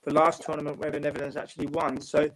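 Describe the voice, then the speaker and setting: A man from England, a football writer and guest, talking in a calm, measured way with long explanations. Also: on the high side, rough recording. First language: English. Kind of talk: casual panel chat.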